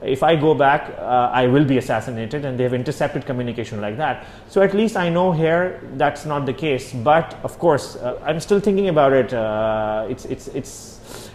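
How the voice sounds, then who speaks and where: A man's voice: mid-range voice, expressive, normal speed, hardly any pauses, a little mellow, a little hiss or hum. Hesitant man in a roomy space. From Pakistan. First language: Urdu. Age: 30s. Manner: hesitant, many fillers and restarts. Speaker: exiled journalist. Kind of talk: personal testimony interview. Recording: roomy with faint ambience.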